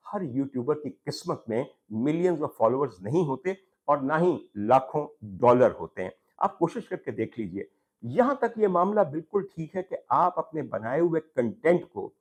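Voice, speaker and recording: A man's voice: mid-range voice, husky. A man with a Pakistani accent hosting a talk programme, addressing viewers formally in an opinion monologue with rhetorical questions and emphatic repetition. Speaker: talk show host. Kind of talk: opinion monologue to camera on social issues.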